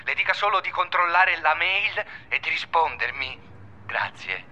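A man's voice: on the high side, lively intonation, a little slow, bright, forceful tone, full character voice. An actor playing an anxiously polite, insistent male teacher on the telephone in a radio drama, through a phone filter. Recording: dull, band-limited telephone sound.

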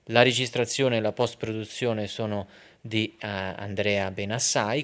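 A man's voice: fairly low pitch, a bit bright. A man hosting a radio arts programme, interviewing and introducing a broadcast. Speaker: radio host.

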